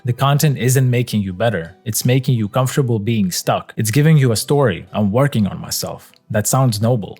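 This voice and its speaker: A man's voice: medium pitch, darkish tone. A man whose first language is Lithuanian, delivering a blunt, confrontational scripted monologue as a video essayist, swearing often.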